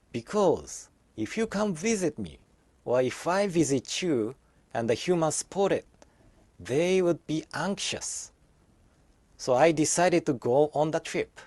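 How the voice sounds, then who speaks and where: A man voicing a gentle, hushed blue orc. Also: fairly high pitch, no echo. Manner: hushed and soft. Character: gentle self-sacrificing male blue orc.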